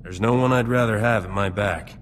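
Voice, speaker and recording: A man's voice: fairly low pitch, brisk, slight background noise, natural voice. A man playing a wry, dry but supportive roguish starship captain in a video game. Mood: sincere. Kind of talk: video game cutscene dialogue.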